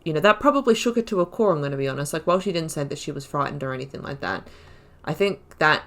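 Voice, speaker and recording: A woman's voice: low-pitched, animated, fast, a bit bright. A woman giving casual let's-play commentary, thinking aloud reflectively and reading out dialogue as her character. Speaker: gaming YouTuber.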